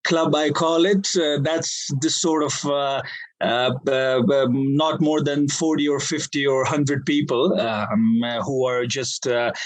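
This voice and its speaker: A man from Afghanistan, a business manager, speaking in a podcast interview with frequent pauses, fillers and restarts. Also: medium pitch, steady tone, poor audio. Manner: hesitant, many fillers and restarts.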